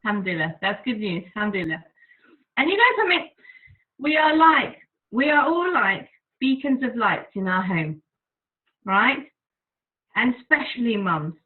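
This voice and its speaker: A woman leading an online group course over a video call, talking in a gentle, soothing way. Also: fairly high pitch, big pitch swings, normal speed, pauses often. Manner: gentle, soothing, laughs easily. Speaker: course facilitator. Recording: tinny phone-like call audio.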